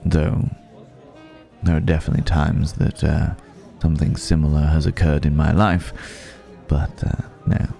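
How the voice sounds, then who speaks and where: Man playing a very deep, unhurried refined vampire. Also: level tone, gravelly, mellow, soft background music, full character voice. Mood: silky, predatory. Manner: measured, reflective, with lingering pauses. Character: refined, wistful centuries-old male vampire, urbane and predatory. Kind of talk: intimate ASMR roleplay monologue.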